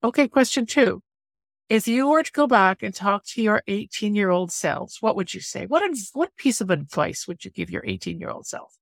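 A woman co-hosting an interview, asking questions in a lively, animated way. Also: medium pitch, moderate pace, gravelly, dry recording. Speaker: interview co-host. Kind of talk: hosting a lightning round.

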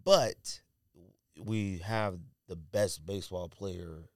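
A man's voice: deep voice, slow, darkish tone, no room sound, clean studio sound. A man co-hosting a casual sports podcast, talking emphatically in slang and repeating himself to press a point. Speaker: podcast co-host.

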